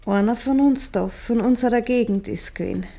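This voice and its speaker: An actress playing an anxious, probing village wife in a 1950s Bavarian dialect radio play, speaking with grave insistence. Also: female voice, on the high side, a little fast, vocal fry, dark tone. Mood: uneasy.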